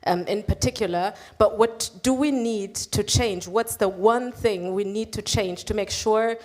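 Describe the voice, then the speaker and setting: A woman's voice: mid-range voice, a little slow, darkish tone. Woman asking a question from the audience. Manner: earnest, direct questioning.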